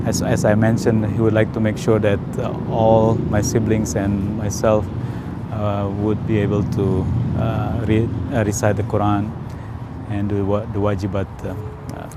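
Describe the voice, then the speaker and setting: A man with an Indonesian accent giving an unscripted personal account in a halting, hesitant way with frequent pauses. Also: lowish voice, very noisy. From Indonesia, South Sumatra. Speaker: interviewee telling their own life story. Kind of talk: unscripted personal testimony in English.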